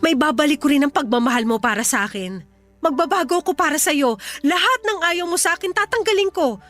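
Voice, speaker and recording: High-voiced, clingy young mother. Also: expressive, a little fast, fairly bright tone, faint music behind. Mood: insistent, pleading. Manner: insistent, pleading. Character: clingy, pleading young mother longing for her ex. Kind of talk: radio drama acting.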